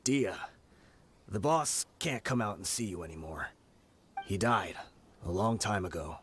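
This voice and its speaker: A nervous, placating mercenary. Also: male voice, low voice, animated, a little slow, noisy background. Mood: uneasy. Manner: hesitant, placating. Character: nervous male mercenary trying to calm things. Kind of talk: video game voice acting. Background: game music.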